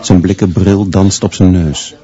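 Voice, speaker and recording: Stern teacher-narrator for a radio play: grim, deadpan, unhurried, low-pitched. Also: male voice, highs cut off. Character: stern male schoolteacher narrating his monstrous pupils grimly.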